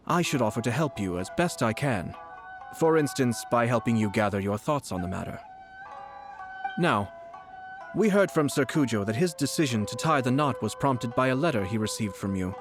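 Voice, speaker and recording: An actor voicing a composed, courteous nobleman official in a video game, formal, measured and subtly sly. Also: medium pitch, close to an everyday voice. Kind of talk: video game voice acting, scripted dialogue.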